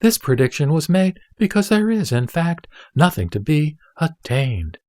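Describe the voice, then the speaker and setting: A man playing a serene Buddha teaching a disciple in an audio drama of scripture, speaking in a measured, solemn, deliberate way. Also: on the high side, big pitch swings, a little mellow, dry recording, studio quality.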